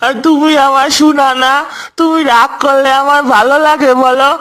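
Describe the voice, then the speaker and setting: A woman's voice: high-pitched, fairly even intonation, normal speed, fairly bright tone. Woman as a whiny lovesick ghost groom. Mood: apologetic. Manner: pleading, wheedling. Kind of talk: comic cartoon voice acting.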